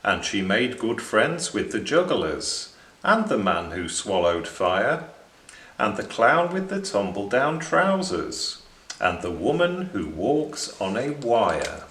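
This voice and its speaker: Slow, expressive male storybook reader. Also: lowish voice. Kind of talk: expressive children's storybook narration. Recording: echoing room.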